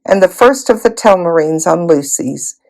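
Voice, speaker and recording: A woman reading a children's fantasy novel aloud in an expressive storytelling style. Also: lowish voice, moderate pace, gravelly, rough recording. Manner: acts out dialogue in character voices. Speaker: volunteer storybook reader.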